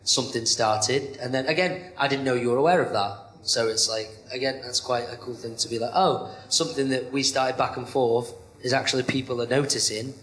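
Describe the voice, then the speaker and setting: A man's voice: medium pitch, brisk, hardly any pauses. Rambling man with an echoey sound. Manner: rambling, many "you know" fillers, self-described monotone. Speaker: professional wrestler, interview guest. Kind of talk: casual interview chat.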